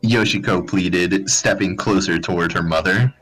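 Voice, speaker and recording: Low-voiced man narrating a comedic story. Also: normal speed, a bit bright, faint music behind. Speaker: narrator of a live story reading. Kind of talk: comedic dramatized narration of fan fiction.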